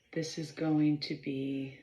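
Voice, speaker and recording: A woman leading a guided meditation in a measured, halting way, pausing between short phrases and often clearing her throat. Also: deep voice, mellow. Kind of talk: slow guided meditation instruction. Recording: roomy, slightly dull sound.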